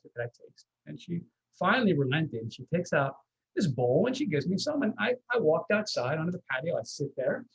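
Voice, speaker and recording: A man's voice: fairly high pitch, husky, lo-fi sound. A man giving a live cooking demonstration as guest chef, explaining step by step in a folksy, reassuring, conversational way.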